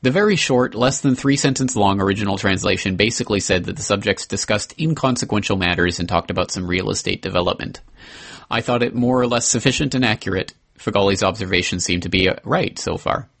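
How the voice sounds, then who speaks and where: A man hosting a podcast, reading a memoir excerpt aloud in an earnest, measured way with the odd slip and restart. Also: on the low side, a bit bright.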